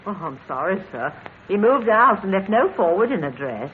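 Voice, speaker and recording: A woman's voice: medium pitch, sing-song, normal speed, slight background noise, close to an everyday voice. An actress playing an eager-to-help landlady who talks in a chatty, deferential way, in a tinny old radio drama recording. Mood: fussy. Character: fussy, eager-to-help older female landlady.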